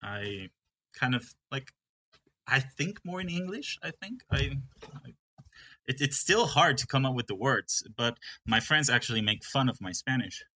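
A man's voice: mid-range voice, animated, measured pace, pushed and bright. A young man from Peru who manages a coffee farm, talking in a casual interview and often stopping to restart his sentences. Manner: hesitant, with many restarts.

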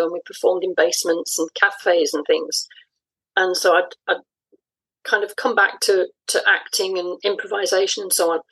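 A woman's voice: mid-range voice, breathy edge, low-quality recording. A woman in her sixties speaking as an interview guest, reflecting and explaining thoughtfully in a conversational way. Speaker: interview guest, audio drama writer.

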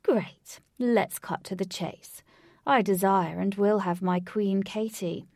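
A woman's voice: a little mellow, no echo, studio quality. Woman putting on a pompous, drawling male villain. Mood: commanding, wooing. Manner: theatrical, booming, drawn-out taunting. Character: pompous, gloating male villain commanding a mannequin army.

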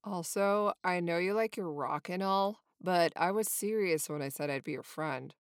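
A woman voicing a calm, friendly young male sandpiper in a children's story, with a laid-back, deadpan manner. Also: fairly low pitch, normal speed, clean tone, no room sound, clean studio sound, close to an everyday voice.

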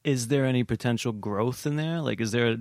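A man's voice: medium pitch, brisk, darkish tone, dry recording, clean studio sound. A man hosting a casual podcast, joking around and asking follow-up questions. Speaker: podcast host.

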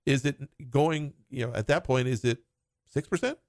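A man's voice: mid-range voice, normal speed, no room sound. Man, financial advisor, conversational, medium voice, dry recording. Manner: explains retirement planning in runs of short clauses, laughing and teasing. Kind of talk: conversational explanation.

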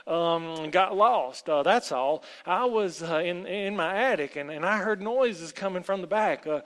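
A man acts out a frightened young boy from a dark storybook. The voice is pitched high, hesitant and pleading, prone to stammers. It carries a long, hall-like reverb. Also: fairly even intonation, a little fast, gravelly. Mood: nervous stammering.

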